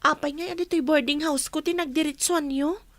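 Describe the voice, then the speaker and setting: Actress as a very high-pitched Filipino little sister. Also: female voice, fairly even intonation, a little fast, dry recording, lightly acted. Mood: wary, surprised. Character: younger sister, a student, guilty and whiny. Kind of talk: Ilocano radio drama acting.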